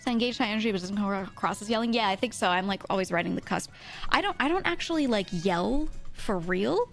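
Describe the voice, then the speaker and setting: A woman's voice: medium pitch, lively intonation, fast talker, vocal fry. A woman livestream host with a thin voice, chatting casually and animatedly to her viewers in a rambling, giggly way, close on a streaming mic. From US East Coast. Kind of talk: casual livestream chat, reading and answering viewers' comments. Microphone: close streaming microphone. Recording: music playing behind the voice. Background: background music.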